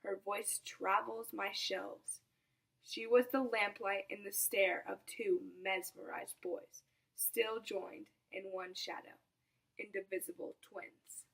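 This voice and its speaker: A teenage girl recites poetry as a student reader. Her voice has a creaky, frying edge. It is picked up at some distance from the microphone. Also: mid-range voice, steady tone, slow pace, fairly bright tone. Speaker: student reading a poem aloud.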